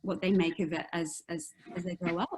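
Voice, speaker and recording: A woman's voice: on the low side, steady tone, brisk, darkish tone. A middle-aged woman telling personal stories in a casual conversation as an interview guest. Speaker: guest, author.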